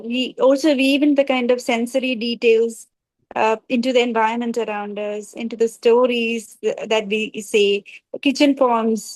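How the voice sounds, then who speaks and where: A woman's voice: a little high, dry recording, low-quality recording. A woman from Kerala, speaking hesitantly on a book launch panel with many fillers and restarts. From Kerala, India. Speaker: editor and poet at a book launch. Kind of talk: panel discussion.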